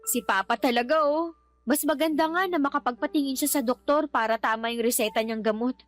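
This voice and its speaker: An actress with a Filipino accent playing a resentful, outspoken grown daughter in a radio drama, exasperated and complaining. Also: female voice, high-pitched, brisk, faint music behind, natural voice. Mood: concerned.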